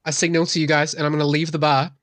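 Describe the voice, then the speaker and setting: A man's voice: a little high, little pitch movement, very fast, fairly bright tone, no echo. A man chatting casually as a player in a tabletop game.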